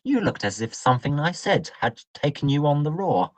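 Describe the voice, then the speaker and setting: A man's voice: fairly high pitch, sing-song, moderate pace, poor audio. A man playing a chatty young Victorian Oxford student in an audio drama, jaunty and full of old-fashioned slang. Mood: breezy, advising.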